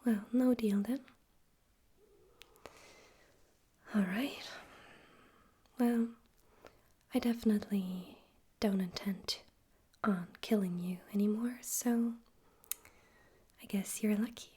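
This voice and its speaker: Demon overlord, played by a woman: breathy, husky ASMR whisper, unhurried, suave, amused, quietly menacing and condescending. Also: medium pitch. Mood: coolly threatening. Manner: slow, condescending, teasing, deliberate.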